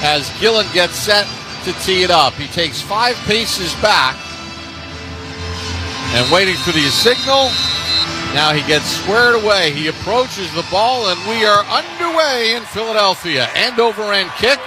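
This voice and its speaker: A man's voice: high voice, lively intonation, unhurried, over music, low-quality recording. A man working as a radio sports announcer, giving descriptive play-by-play in clipped phrases with scripted sponsor reads. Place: stadium broadcast booth.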